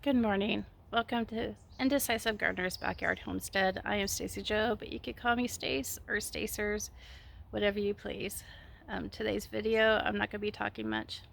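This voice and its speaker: A woman with a failing, hoarse voice chatting casually as a gardening vlogger, outdoors in a backyard garden. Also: mid-range voice. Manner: soft-spoken and unhurried, drifting into a whisper. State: losing her voice, hoarse. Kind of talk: casual chat. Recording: faint outdoor noise. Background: birds and chickens.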